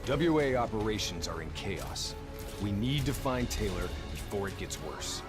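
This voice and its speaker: An actor playing a grim, suspicious special forces veteran in a video game cutscene. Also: male voice, lowish voice, animated, normal speed, natural voice. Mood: grim, distrustful. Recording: loud music over dialogue.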